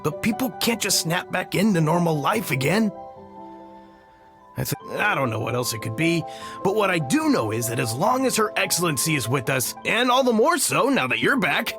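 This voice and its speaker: A man's voice: fairly high pitch, big pitch swings, normal speed, over music. A man playing an eager, friendly, loyal soldier in a video game, earnest and effusive. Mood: earnest, reflective. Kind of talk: video game character acting.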